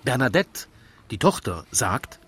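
A man tells a rhymed story as the narrator of a radio play. His voice sits at a middle pitch. Clear ambient noise runs behind it. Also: big pitch swings, a little slow, a bit bright.

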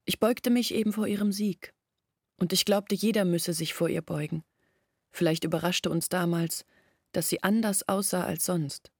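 Young village woman telling her family story, lowish voice, measured, dry studio radio play. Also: fairly even intonation, a little mellow, breathy edge, no echo. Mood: reflective, earnest. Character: Olga, young village woman recalling family's past pride. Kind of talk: German radio play acting, reflective storytelling.

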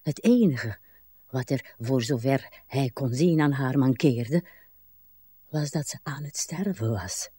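Tired wistful old lady in a radio drama, really slow n pensive, close dry sound. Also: rumbling low, expressive, mellow, husky, no echo, heavily acted. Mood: brooding. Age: older. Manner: slow, halting, pensive delivery with drawn-out sighs. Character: weary, wistful, brooding old Irish countrywoman, a wife. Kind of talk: literary radio drama acting.

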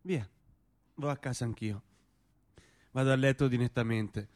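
A man's voice: medium pitch, unhurried, darkish tone, natural voice. An actor playing a nostalgic, slightly pompous provincial man, mixing inflated words with homely talk in a stage drama. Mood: resigned.

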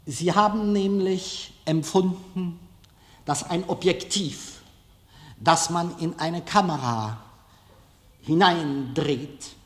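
A man giving a public lecture in a deliberate, halting way with dramatic pauses. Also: on the high side. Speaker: film director giving a lecture. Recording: echoey hall, long reverb.

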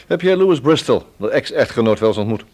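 Actor playing a measured male police inspector. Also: medium pitch, lively intonation, a little mellow, close to an everyday voice. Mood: probing. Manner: measured, matter-of-fact, probing. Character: calm, probing male police inspector, drily wry. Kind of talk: radio play acting.

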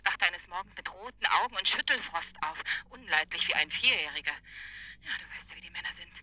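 A woman's voice: fairly low pitch, expressive, fairly quick, sharp tone, exaggerated voice, low-quality recording. An actress playing a chatty, self-dramatizing, wheedling woman friend in a German radio drama, heard through a telephone filter. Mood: gushing, complaining.

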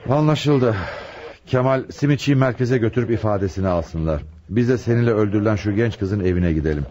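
An actor playing an authoritative, inquisitive police chief inspector in a radio drama, questioning in a measured way. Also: male voice, fairly low pitch, warm tone, close to an everyday voice. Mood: businesslike. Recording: muffled old radio broadcast, crowd murmur.

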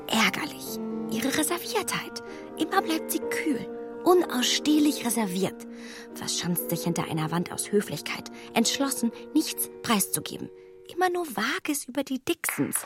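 An actress speaking a young lady's jealous, suspicious inner thoughts in a brooding, resentful way. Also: on the high side.

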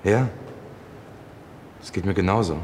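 An actor in a radio drama playing a brooding, resentful loner in clipped inner monologue. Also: male voice, low-pitched, measured pace, a little mellow, slight background noise. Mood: hesitant, concerned. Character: Torge, brooding, resentful, menacing loner ex-marksman.

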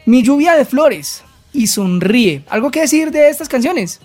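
A man's voice: very high voice, animated, a little slow, darkish tone, faint music behind. A male radio host, asking short questions and introducing songs in a friendly way. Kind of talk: radio interview hosting.

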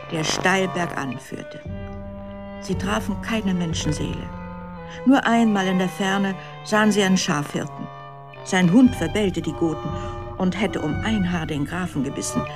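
A narrator telling a children's radio story in a measured, expressive scripted style. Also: medium pitch, music in the background.

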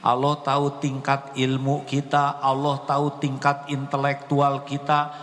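A man preaching a sermon to an audience and answering questions, gentle at times, then rising to impassioned exclamations. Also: mid-range voice, completely flat delivery, unhurried, long reverb tail.

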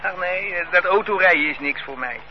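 A man's voice: high-pitched, brisk, lightly acted. An actor playing a chatty barber who teases his friend with chuckles, in a radio play. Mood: content. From Netherlands. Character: Nathan, chuckling barber, content and teasing. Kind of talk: radio drama acting. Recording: dull lo-fi radio play recording with faint hiss.

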